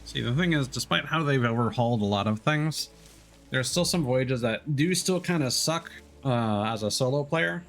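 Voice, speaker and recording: A man's voice: mid-range voice, expressive, moderate pace. A man streaming a solo game, chatting and musing aloud, with mild complaints, over faint game music. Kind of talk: casual gameplay commentary.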